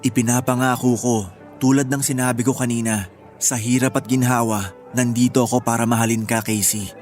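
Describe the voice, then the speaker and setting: A man's voice: medium pitch, flat delivery, a little fast, a little mellow, lightly acted. A man playing a devoted, earnest young groom with a Filipino accent in a radio drama. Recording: music bed behind the voice.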